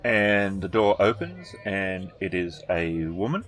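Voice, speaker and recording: Tabletop storyteller, male: low, leisurely delivery, halting improvised scene description. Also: big pitch swings, a bit bright. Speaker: game master. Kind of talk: improvised tabletop game narration.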